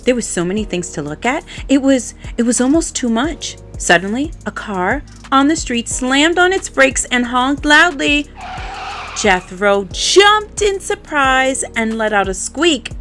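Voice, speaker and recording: Animated, slow-paced woman reading a children's story. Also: high-pitched, faint music behind. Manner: animated, dramatic emphasis with drawn-out words. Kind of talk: expressive read-aloud narration for young children.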